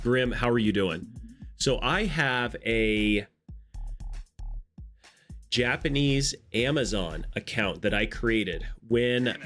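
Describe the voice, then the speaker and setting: Congested low-voiced man streaming live. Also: a bit bright. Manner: chatty, greets viewers by name, rambling asides. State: congested nose. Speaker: live streamer greeting chat. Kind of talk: casual live stream chat.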